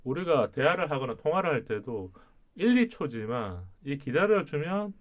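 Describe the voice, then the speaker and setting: Lively-voiced man hosting an advice chat. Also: fairly high pitch. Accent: Korean regional dialect. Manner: calm, reflective, pauses mid-sentence. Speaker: podcast host.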